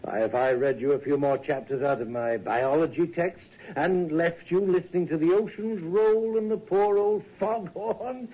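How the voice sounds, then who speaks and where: An actor playing an older professor in a radio drama, condescending and deliberate, with a quietly menacing edge. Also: male voice, a little high, steady tone, natural voice. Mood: amused, patronizing. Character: old professor, patronizing, quietly menacing cult believer. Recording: muffled, noisy, reverberant radio drama recording.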